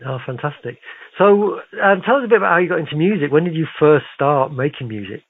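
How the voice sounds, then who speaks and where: A man's voice: a little high, animated, fast talker, breathy edge. A man hosting a conversational radio interview in an encouraging, affirming manner. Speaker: radio interviewer, show host. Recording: narrow phone-like radio sound.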